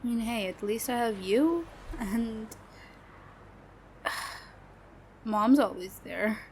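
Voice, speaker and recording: A young woman voicing a sad best friend from a troubled home who hides her hurt, talking haltingly with nervous little laughs and trailing off. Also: a little high, darkish tone, a little hiss or hum. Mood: sad, resigned. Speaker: voice actor. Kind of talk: audio roleplay.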